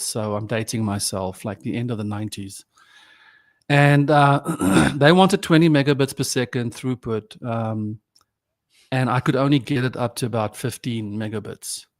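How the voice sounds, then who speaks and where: A man from South Africa, native Afrikaans speaker, in his forties or fifties, a podcast guest talking casually and reflectively with many restarts. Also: mid-range voice. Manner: reflective, halting with frequent restarts. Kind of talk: casual conversation.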